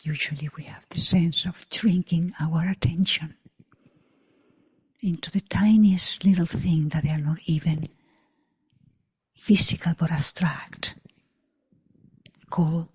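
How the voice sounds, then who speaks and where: Hushed, very slow breathy woman guiding meditation. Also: lowish voice, fairly even intonation, no echo. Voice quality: breathy, husky, near whisper. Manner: hushed, unhurried, long pauses between short phrases. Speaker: meditation teacher. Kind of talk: guided meditation for a group.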